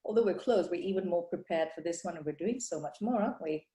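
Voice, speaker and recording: A woman's voice: lowish voice, fast talker, mellow. Upbeat woman host in an echoey room. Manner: effusive, upbeat, frequent fillers. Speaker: clinic owner, interviewer. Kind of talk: enthusiastic interview hosting.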